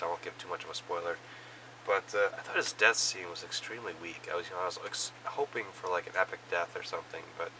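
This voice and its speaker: Hoarse man with a cold, very low voice, rambling recap, mic set back, noisy background. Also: far from the mic. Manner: rambling, many fillers and restarts. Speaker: vlogger reviewing films and shows. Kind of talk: casual monthly watch recap.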